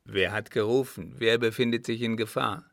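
Guy reading as a rough simple shepherd father in a storybook, gruff, blurts things out, kinda startled. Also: lowish voice, fairly even intonation, moderate pace, no room sound, studio quality. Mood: startled, alarmed. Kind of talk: audiobook character voice.

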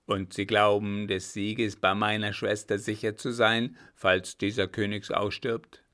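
A man voicing a grim, vengeful army captain who talks in a forceful, harsh, clipped way. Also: on the low side, little pitch movement, a little slow.

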